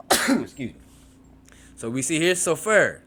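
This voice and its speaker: A man's voice: fairly high pitch, a bit bright, breathy edge. A man teaching a beginners' Hebrew class, reading from a textbook and breaking off to explain, repeating words aloud to model their sounds. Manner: repeats words to model pronunciation, frequent restarts and asides.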